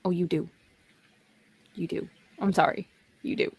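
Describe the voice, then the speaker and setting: A woman's voice: on the low side, fairly even intonation, a little slow, gravelly, darkish tone, breathy edge. A middle-aged woman co-hosting a livestream chat, rambling and self-deprecating, laughing often. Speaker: co-host of a livestream talk show. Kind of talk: casual chat.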